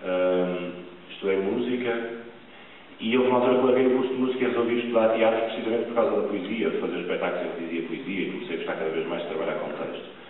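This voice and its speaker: A man's voice: low-pitched, steady tone, quick pace, slight background noise. A young man from Porto, an actor, earnestly telling a theatre audience about his role, with frequent hesitations. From Porto, Portugal. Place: large theatre stage. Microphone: far camera, room sound. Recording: distant, echoey, tinny hall recording.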